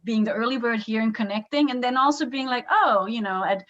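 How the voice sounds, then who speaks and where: A woman's voice: a little high, brisk, a bit bright. Woman on a dull video call. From Denmark. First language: Danish. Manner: friendly, conversational, asks questions. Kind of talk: casual video call chat. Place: home office. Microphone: computer on a video call.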